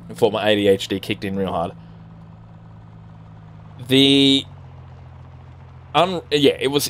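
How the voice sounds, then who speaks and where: A man's voice: medium pitch, a little hiss or hum. A man with an Australian accent chatting casually to viewers while playing a farming game, rambling and swearing freely. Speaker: gaming streamer. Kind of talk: casual let's-play commentary while driving.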